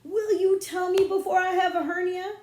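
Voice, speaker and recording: A woman's voice: very high voice, level tone, normal speed, a little mellow, roomy sound, voice pushed up. A woman voicing an impatient, bossy country boy in an audiobook, with folksy, ungrammatical, blustering talk. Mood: exasperated, impatient. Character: exasperated, impatient country schoolboy, bossy big brother. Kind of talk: audiobook character voice.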